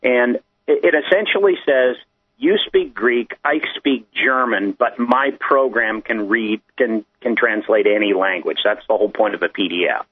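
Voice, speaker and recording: A man's voice: on the low side, moderate pace, slightly rough. A man giving a conversational technical explanation, step by step, as a guest. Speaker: guest explaining digital documents. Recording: muffled, tinny phone line.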